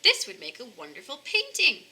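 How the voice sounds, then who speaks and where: Woman as animated, kindly young male artist. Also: high-pitched, normal speed, a little hiss or hum, pitched above the performer's own voice, full character voice. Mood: inspired.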